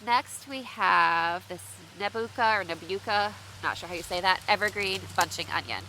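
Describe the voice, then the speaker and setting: A woman's voice: on the low side, animated, moderate pace, pushed and bright, a little hiss or hum, rough recording. A woman chatting casually outdoors to her viewers as she unboxes seeds, sounding a bit stuffy and congested from allergies. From United States. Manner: chatty, asks viewers for tips. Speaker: homestead vlogger. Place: outdoors under shifting trees.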